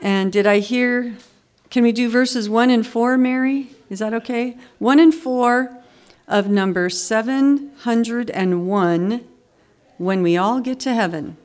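A woman's voice: fairly high pitch, slow, darkish tone. A woman leading a congregational hymn sing in church, her voice a little scratchy, talking in a friendly, chatty way with hesitant restarts. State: slightly scratchy voice. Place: church sanctuary.

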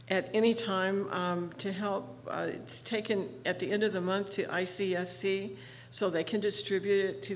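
A woman pastor with a rough voice this morning, giving friendly church announcements with many fillers and leading the liturgy. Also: medium pitch, slight background noise. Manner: friendly, chatty, with frequent fillers. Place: church sanctuary.

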